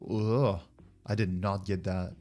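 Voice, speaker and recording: A man with a French accent chats casually as a livestream host. His voice sits fairly low and he rambles informally, thinking out loud as he goes. Light music plays behind him. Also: little pitch movement, slow pace. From France. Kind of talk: casual livestream chat with viewers.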